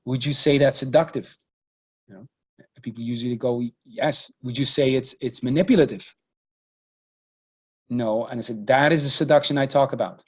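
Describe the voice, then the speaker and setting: A man whose first language is Dutch, speaking English as a podcast guest in a relaxed, searching way, often restarting his phrases. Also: medium pitch, moderate pace, pauses often, slightly rough, lo-fi sound. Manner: hesitant, many restarts and repeated words. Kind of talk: casual conversational interview.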